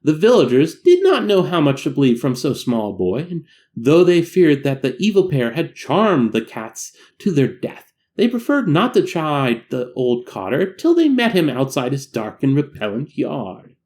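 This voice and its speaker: A man reading a classic tale aloud as a narrator, in a dramatic, measured and solemn storytelling manner. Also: fairly high pitch, very animated, a little mellow. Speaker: amateur audiobook narrator.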